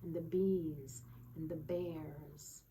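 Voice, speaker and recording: Slow, mellow woman reading to children. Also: sits low, fairly even intonation. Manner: gentle teacherly, often asking tag questions. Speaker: children's storytime teacher and reader. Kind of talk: storytime read-aloud with questions to young children. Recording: distant mic with faint background noise.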